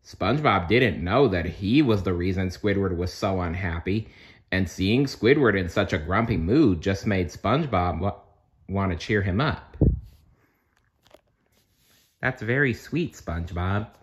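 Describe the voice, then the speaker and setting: A man reading a children's storybook aloud as narrator, in an expressive, dramatic storytelling manner. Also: sits low, normal speed. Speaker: storybook reader.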